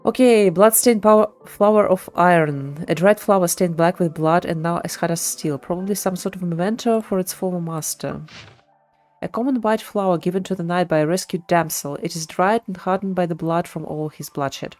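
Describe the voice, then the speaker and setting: A woman's voice: on the low side, moderate pace, darkish tone. A woman streamer giving live commentary on a video game and its lore. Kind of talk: live gameplay commentary with lore read aloud. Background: game music.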